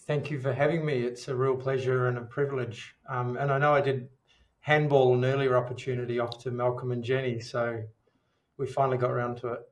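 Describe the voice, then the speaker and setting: A middle-aged man with a New Zealand accent, speaking as an interview guest in a measured, reflective way. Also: medium pitch, little pitch movement, poor audio. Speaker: podcast guest, dietitian. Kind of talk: interview storytelling.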